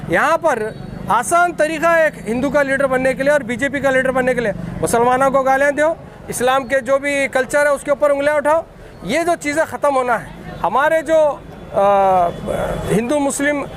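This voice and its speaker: Male activist, Deccani Urdu accent, very high pitch, insistent and accusing press statement, slight outdoor traffic noise. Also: fairly bright tone. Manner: emphatic, accusatory, repetitive. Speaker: political activist.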